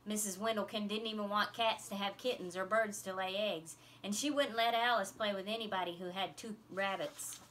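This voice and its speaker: Bright-voiced woman reading a story. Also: mid-range voice, steady tone, normal speed, hardly any pauses, a little hiss or hum. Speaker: audiobook reader. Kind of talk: scripted narration of a children's novel.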